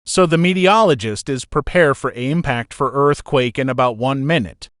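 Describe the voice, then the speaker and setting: A man's voice: mid-range voice, moderate pace, a bit bright, no room sound, clean studio sound. A man presenting mock breaking news as anchor and interviewer, formal and polite with non-native phrasing.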